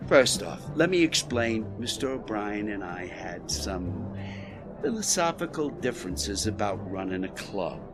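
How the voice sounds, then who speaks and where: A man's voice: fairly low pitch, big pitch swings, unhurried, over loud music. A man playing a proud, touchy, streetwise 1920s Chicago club boss in a period drama, folksy but menacing. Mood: proud, defensive. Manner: folksy tough talk turning to veiled threats. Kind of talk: period radio drama acting.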